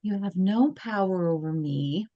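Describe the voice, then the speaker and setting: A woman's voice: medium pitch, a little slow, muffled recording. A woman reading the lead teenage girl part in a live video-call read-through, moving between whiny protest and grand recital. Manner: lively, swings between whiny protest and grand recital, breaks off to laugh at slips. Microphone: video call microphone.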